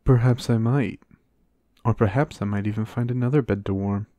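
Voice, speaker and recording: A man playing a reserved, dry-witted American knight in a fantasy ASMR roleplay, speaking in measured, formal banter. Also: lowish voice, fairly even intonation, dark tone, no echo, close to an everyday voice. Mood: dry teasing.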